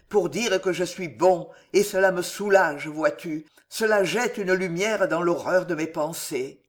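A man's voice: sits high, fairly bright tone. A reader voicing a young king gripped by paranoid terror, feverish, moving from tender confession to shrieking for his guards in an audiobook. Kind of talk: audiobook character reading.

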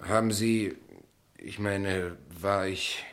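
A reserved gentleman in a radio play. His voice is deep, his delivery hesitant and polite, with an awkward earnestness. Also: steady tone, slow pace. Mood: groggy, embarrassed. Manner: hesitant, subdued, stiffly polite. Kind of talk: German radio play acting.

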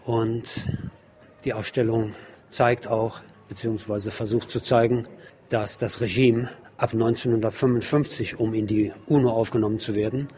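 A man presenting a narrated report on an exhibition, explaining in a measured way with deliberate phrasing. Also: on the low side, steady tone, dark tone.

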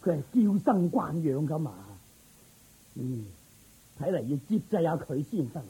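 An actor playing a commanding, doting king in a radio drama, speaking with deliberate authority. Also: fairly high pitch, animated, dark tone, breathy edge, rough recording. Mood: worried, pondering. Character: commanding, doting king worried for his son.

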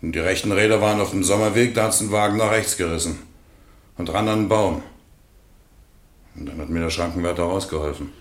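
An actor playing an evasive working-class witness in a radio courtroom drama, offhand, terse and defensive. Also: male voice, sits low, brisk, long pauses, close to an everyday voice. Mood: matter-of-fact. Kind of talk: radio play acting. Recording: roomy courtroom echo.

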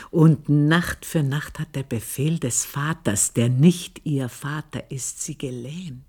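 An actress playing a bitter, vengeful old housekeeper in a radio drama, with a southern German or Austrian accent and a deliberate, intense delivery. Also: female voice, low voice, a little mellow, roomy sound, exaggerated voice. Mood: sly, warning. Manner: deliberate, intense, drops into whispers. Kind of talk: slow, literary radio drama monologue.

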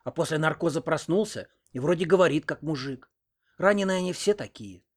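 A man voicing a sharp-tongued, nosy old village grandmother in an audiobook, scolding and indignant. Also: fairly high pitch, lively intonation, brisk, voice pushed up. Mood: nosy, knowing. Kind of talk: audiobook character reading.

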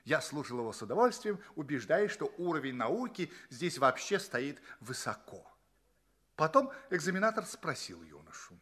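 An actor playing a courteous space traveller from Earth, narrating his tale expressively and deliberately in an audio play. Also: a little high, very animated, fairly bright tone, husky, heavily acted. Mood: solemn, pleased. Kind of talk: theatrical first-person audio play narration.

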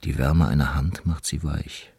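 A man reads a scripted literary text as narrator in a solemn, measured, declamatory way. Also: very deep voice, steady tone, vocal fry, darkish tone, slightly rough, no room sound. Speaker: narrator reading quoted texts.

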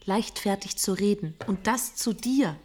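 An actress playing a gentle, serious young woman in a radio drama, hushed, breathy and earnest. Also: mid-range voice, animated, unhurried, a little hiss or hum, close to an everyday voice. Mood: quietly shocked. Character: gentle, serious young female cousin, quietly shocked.

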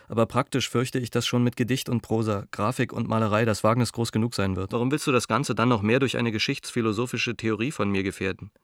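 A man's voice: mid-range voice, dry recording, clean studio sound, lightly acted. An actor in a radio play as an earnest, cautious dissident intellectual, reading his letter in a reflective, articulate, argumentative way.

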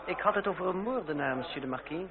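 An actor playing a sardonic young revolutionary fencing master in a period radio play, formal and coolly mocking. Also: male voice, high-pitched, expressive, fast. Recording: tinny narrowband old radio drama, crowd murmur.

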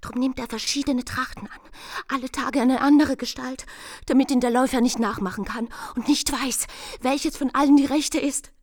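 High-voiced, deluded young bride. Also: female voice, fairly even intonation, normal speed, no room sound, studio quality. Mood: secretive confiding. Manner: confiding, feverishly earnest, drifting into whispers. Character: deluded, fragile young bride-to-be, secretive and lovesick. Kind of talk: German radio play acting.

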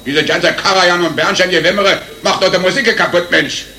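An actor playing a bossy, grumbling band leader who talks colloquially in a satirical radio play. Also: male voice, high-pitched, brisk, sharp tone, a little hiss or hum, full character voice. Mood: bossy, fussy. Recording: echoey room with music behind.

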